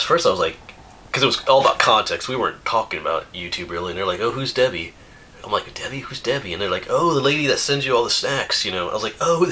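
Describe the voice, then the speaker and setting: A man talks in a loose, rambling way, sniffly from a coming cold. His voice sits very low yet sounds sharp and forceful. A faint noise runs under the camera recording. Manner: rambling and chatty, laughs often, restarts. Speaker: outdoors YouTuber reading viewer mail. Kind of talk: casual chat. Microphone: camera mic.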